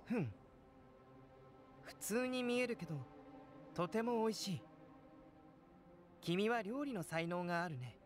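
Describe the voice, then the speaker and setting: An actor playing a calm, curious young male alchemist in an anime video game, speaking in a measured, polite, analytical way. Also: high voice, faint music behind.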